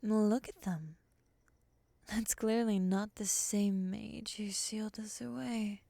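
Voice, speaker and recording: A woman playing a cool, skeptical moth monster woman, breathy and half-whispered, sardonic and condescending, in an ASMR roleplay. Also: medium pitch, steady tone, slow pace, mellow, dry recording. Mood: wary, thoughtful.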